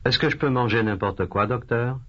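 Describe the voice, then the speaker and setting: A man reading a sick patient with a husky voice. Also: medium pitch, fairly bright tone. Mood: plaintive. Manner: slow, careful, repeated phrases. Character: adult male patient with a cold. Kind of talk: scripted language drill dialogue. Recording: dull lo-fi course tape.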